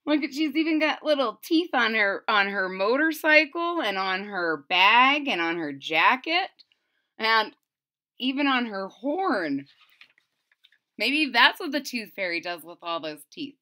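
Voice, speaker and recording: Children's story reader: woman, middle-aged, bright high voice, unhurried, theatrical emphasis. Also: very animated. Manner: theatrical, emphatic, drawn-out words. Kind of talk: expressive read-aloud storytelling for children. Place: small room at home.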